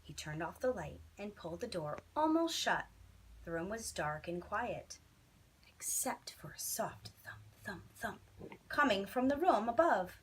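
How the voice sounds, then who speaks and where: A woman's voice: medium pitch, big pitch swings, unhurried, slight background noise, poor audio. A woman teacher reading a children's bedtime story expressively, with dramatic, emphatic phrasing.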